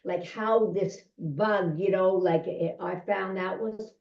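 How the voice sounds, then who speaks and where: A woman's voice: medium pitch, fairly even intonation, slow pace, creaky, darkish tone. A woman in a virtual book club, talking enthusiastically over a video call. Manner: enthusiastic, gushing. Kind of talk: casual group discussion. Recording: echoey lo-fi call audio.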